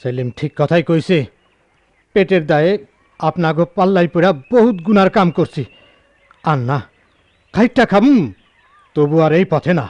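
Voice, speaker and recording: A man's voice: high-pitched, lively intonation, moderate pace, long pauses, a little mellow, dry recording. An actor playing a poor, remorseful village henchman with a rural Bangladeshi accent, overwrought, in a radio drama.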